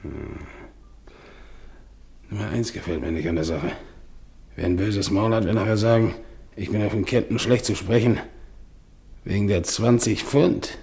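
A gruff, wary husband. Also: male voice, fairly low pitch, measured pace, long pauses, a little mellow. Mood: wary, grumbling. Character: wary, grumbling husband uneasy about ghostly noises. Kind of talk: 1950s German radio play acting.

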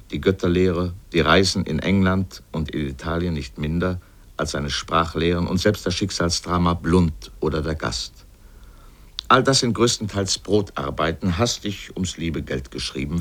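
An actor playing an erudite, opinionated literary critic who delivers a scripted radio essay with emphasis. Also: male voice, sits low. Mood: dismissive. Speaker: essayist speaker in a radio feature.

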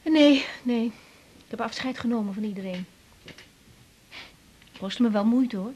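A woman's voice: on the high side, unhurried, darkish tone, a little hiss or hum, lightly acted. An actress playing an earnest young woman doctor who stammers and hesitates, in a 1980s radio drama. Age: young adult.

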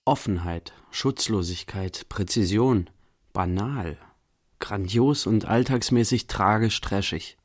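A man in a radio play, playing a detached observer who recites terse image descriptions in clipped, deliberate phrases. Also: on the low side.

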